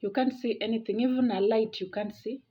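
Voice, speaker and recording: A woman presenting an informal explainer, talking haltingly and repeating phrases for emphasis. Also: fairly high pitch, fairly even intonation. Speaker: video presenter. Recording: dull lo-fi audio.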